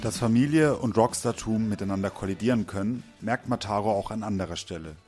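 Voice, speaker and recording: A man reading a scripted narration for a culture report in a measured, even way. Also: lowish voice, soft background music.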